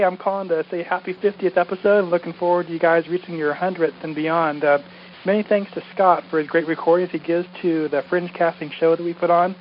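A man who podcasts, leaving a casual, rambling message over a muffled phone line. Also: fairly high pitch. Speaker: podcaster calling in. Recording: muffled phone voicemail, faint noise.

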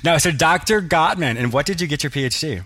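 A man's voice: on the high side, lively intonation, fast, bright, forceful tone, slight background noise. A man hosting an interview show, enthusiastic and affirming, often laughing. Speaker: podcast host.

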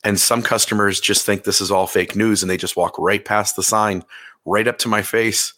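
A man hosting a podcast in casual chat, his voice a little hoarse and crackly, talking haltingly with many fillers. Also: fairly low pitch, fairly even intonation, sharp tone. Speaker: podcast host.

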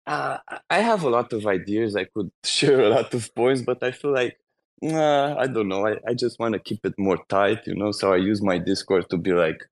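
A man's voice: lowish voice, normal speed. A man from Romania with a Romanian accent, an artist speaking English in a casual chat, nervous and hesitant. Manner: nervous, hesitant, self-conscious. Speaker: artist guest.

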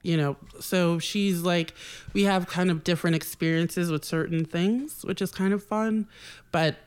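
A woman's voice: fairly low pitch, unhurried, smooth. A woman from Boston, a podcast guest telling stories in casual chat with many "like" fillers. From Boston, Massachusetts. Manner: animated storyteller, many "like" fillers.

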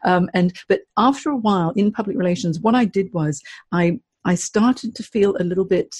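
A middle-aged woman from Hong Kong, a career coach, telling her story in a chatty, animated way as an interview guest. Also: medium pitch, normal speed, breathy edge, dry recording. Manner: chatty, animated, laughs often.

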